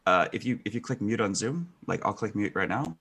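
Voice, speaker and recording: A man chatting casually on a video call, relaxed and full of fillers. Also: on the low side, brisk, vocal fry, husky. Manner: relaxed, hesitant with many fillers. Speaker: guest streamer. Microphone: video call mic.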